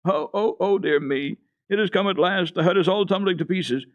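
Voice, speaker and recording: A man's voice: high voice, moderate pace, no echo, voice pushed up, lightly acted. A man voicing a frail old blind grandmother, exclaiming and trembling with anxiety and joy in a storybook reading. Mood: frightened. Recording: dull archival radio tape.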